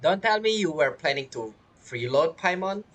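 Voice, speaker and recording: A woman's voice: low voice, animated, moderate pace. A woman giving casual, playful commentary on a video game as she plays, teasing and reacting to the dialogue. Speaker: gamer playing and commenting. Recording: faint game music behind.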